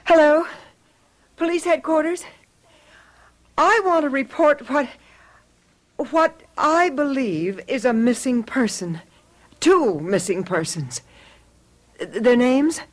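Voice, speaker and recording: An actress playing an anxious, hesitant wife in a radio drama, speaking in a halting, emotional way. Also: female voice, sits high. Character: anxious, hesitant wife worried about missing neighbours. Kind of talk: old-fashioned radio drama acting.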